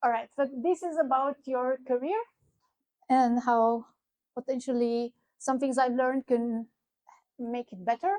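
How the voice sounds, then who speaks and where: A high-pitched middle-aged woman with a Romanian accent speaks at a conference, loose and conversational, often pausing on fillers. The sound is dry and close, with little room echo. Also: steady tone, darkish tone. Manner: conversational, with frequent fillers and restarts. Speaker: keynote speaker. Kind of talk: conference talk. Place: conference venue.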